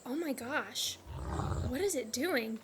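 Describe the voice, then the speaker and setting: A woman's voice: sits high, expressive, takes it slowly, loud music behind the voice, exaggerated voice. A woman playing a nervous female onlooker filming on her phone in an audio drama, heard as a noisy video playback.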